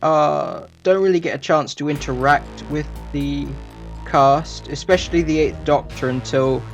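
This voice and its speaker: A man giving an informal review commentary as a reviewer, in a conversational, somewhat hesitant and measured manner. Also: fairly high pitch, creaky, faint music behind.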